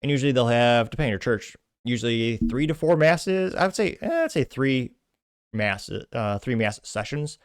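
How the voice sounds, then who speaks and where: A man's voice: medium pitch, lively intonation, moderate pace, smooth, dry recording, studio quality. A man hosting a commentary show, casually reading comments aloud with rambling asides and self-corrections. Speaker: host.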